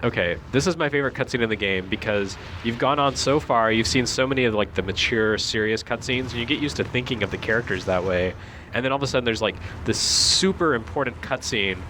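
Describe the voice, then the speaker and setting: A man's voice: mid-range voice, fast talker, bright, forceful tone, a little hiss or hum. A man giving casual, wry commentary as a video game critic, joking as he talks.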